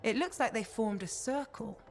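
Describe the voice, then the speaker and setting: A woman playing a level-headed, cautious mission advisor in a video game, measured and matter-of-fact. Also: on the high side, faint music behind, close to an everyday voice. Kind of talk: video game cutscene acting.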